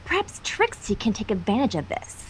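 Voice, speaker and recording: A woman's voice: a little high, very animated, moderate pace, very noisy. A woman voicing a boastful, scheming female cartoon pony magician who haughtily speaks of herself in the third person. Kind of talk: fan fiction character reading.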